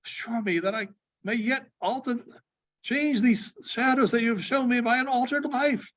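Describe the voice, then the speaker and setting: A man voicing an excitable, repentant old miser in a storybook reading, exclaiming and stammering as he repeats himself. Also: extremely high pitch, moderate pace, highs cut off, voice pushed up. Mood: pleading, desperate.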